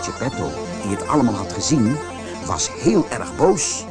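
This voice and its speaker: A man reading a children's fairy tale as an audiobook narrator, in an expressive storytelling style. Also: medium pitch, moderate pace, loud music behind the voice.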